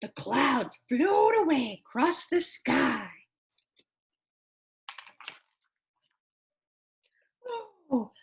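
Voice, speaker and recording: A woman's voice: sits high, creaky, exaggerated voice. A woman voicing an eager childlike puppet friend who reads a picture book to children in an exaggerated, wondering manner. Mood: gentle, wondering. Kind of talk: animated children's storybook read-aloud.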